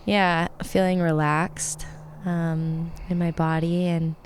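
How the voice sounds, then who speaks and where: A woman chatting as a podcast guest in a slightly raspy, sniffly, congested voice, speaking reflectively with pauses. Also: lowish voice, level tone, slight background noise. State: sniffly with allergies. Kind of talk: casual podcast conversation.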